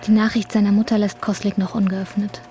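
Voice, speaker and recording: A woman's voice: medium pitch, level tone, fairly quick, mellow, a little hiss or hum. A woman reading scripted literary narration for a radio drama.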